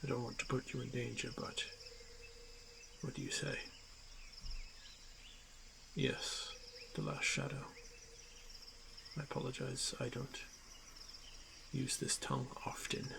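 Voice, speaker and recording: A stoic orc warrior, acted by a man in a fantasy ASMR roleplay, honor-bound and gently protective. His voice is very creaky and breathy, delivered in formal, halting phrases with frequent pauses. Clear night noise of crickets and insects sits behind him. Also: medium pitch, flat delivery. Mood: apologetic, gentle. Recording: noisy track with night insect ambience. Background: crickets and insect ambience.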